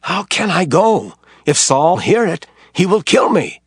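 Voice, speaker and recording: A man's voice: sits high, very animated, measured pace, breathy edge, no echo, studio quality, heavily acted. A man playing a grave, authoritative Hebrew prophet in a scripture audio drama, declaiming his lines with emphasis. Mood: fearful.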